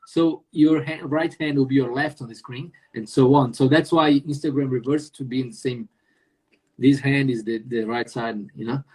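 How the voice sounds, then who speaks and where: Husky man hosting, halting talk. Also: medium pitch, fairly even intonation, a little mellow, poor audio. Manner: halting, many restarts, joking. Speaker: host. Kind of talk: casual live-stream interview.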